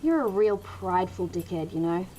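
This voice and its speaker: A woman's voice: lowish voice, animated, moderate pace, mellow, a little hiss or hum, close to an everyday voice, rough recording. A woman playing a tough, no-nonsense outpost boss in an audio drama, blunt and commanding. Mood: irritated.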